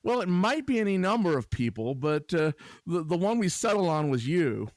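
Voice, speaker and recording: A man co-hosting a podcast in casual banter, with a dry, deliberate, playful delivery. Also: sits high, sing-song, a little mellow, no room sound. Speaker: podcast co-host guest.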